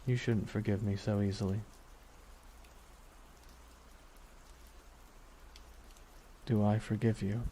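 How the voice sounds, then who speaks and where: A man playing a brooding, grieving supervillain in a dramatic ASMR roleplay, speaking solemnly and haltingly with weighty pauses. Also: fairly low pitch, little pitch movement, slight background noise, lightly acted. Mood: wistful, resigned. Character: brooding, grieving male supervillain saying farewell to his nemesis. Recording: loud music and rain behind. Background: music and falling rain.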